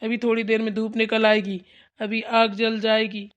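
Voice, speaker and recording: Woman voicing a grumpy young husband, fairly high-pitched. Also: flat delivery, normal speed, muffled recording, lightly acted. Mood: nagging. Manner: bickering, casual, curt. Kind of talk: amateur Hindi family cartoon dialogue.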